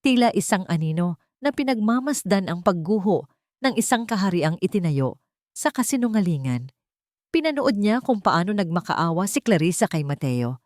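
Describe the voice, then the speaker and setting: A woman's voice: mid-range voice, big pitch swings, moderate pace, a little mellow, dry recording, clean studio sound. A woman narrator reading a scripted romantic drama in a solemn, emotive storytelling style.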